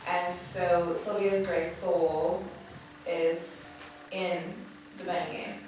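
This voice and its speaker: A woman, a film actress, answering a panel question with halting, deliberate phrasing in a movie theatre Q&A. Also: lowish voice, little pitch movement, over music. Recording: distant, very echoey theatre, noisy.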